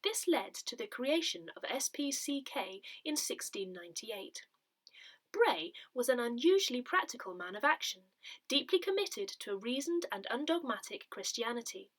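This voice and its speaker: A woman reading a parish newsletter aloud in a soft, breathy, measured way. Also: a little high, very animated, rough recording. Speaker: newsletter reader.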